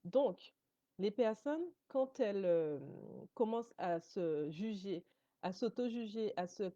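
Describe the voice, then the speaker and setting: A woman whose first language is English, giving a motivational coaching talk straight to her viewers. She encourages them warmly and keeps checking in with a quick "ok?". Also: medium pitch, animated, no echo, dull audio. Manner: encouraging, addresses viewers directly, often checks in with "ok?".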